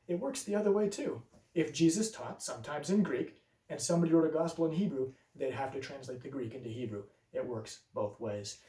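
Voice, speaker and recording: A man who preaches, presenting a scripted explanatory video essay and reasoning step by step through objections in a measured way. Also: on the high side, warm tone, recorded from a distance. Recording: roomy echo, voice a bit off-mic.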